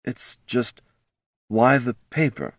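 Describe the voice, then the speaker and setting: A man's voice: mid-range voice, slow, dry recording, clean studio sound, voice pushed up. A man voicing a puzzled, plain-spoken knight commander in a fantasy audiobook, serious and blunt.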